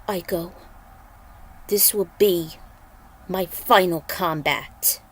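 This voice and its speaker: A woman plays a godlike warrior hero from an action fantasy story. He is solemn and resolute, with grave, deliberate delivery. Every phrase is declaimed like a vow. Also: on the low side, steady tone, husky, heavily acted.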